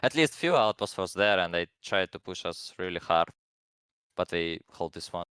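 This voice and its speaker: Deep clear-voiced guy, explaining stuff kinda hesitantly in a gamer interview. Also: lively intonation, normal speed, fairly bright tone, no echo. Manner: hesitant, explanatory, non-native phrasing. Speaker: competitive gaming team player being interviewed. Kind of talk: casual post-match interview.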